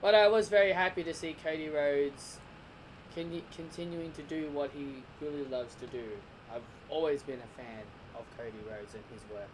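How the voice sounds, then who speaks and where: A man giving a casual, rambling wrestling review, often restarting his sentences, his voice croaking. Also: on the high side, normal speed, slight background noise, rough recording. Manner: rambling, restarts and corrects himself.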